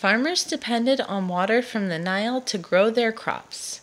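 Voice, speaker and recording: A woman's voice: fairly high pitch, measured pace. Woman reading a picture book aloud. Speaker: storyteller reading to a child.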